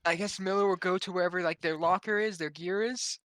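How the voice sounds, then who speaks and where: A man speaks casually as a player at a tabletop game. His voice is high for a man and bright, recorded dry with little room sound. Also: fairly even intonation, a little fast, dry recording. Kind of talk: casual chat.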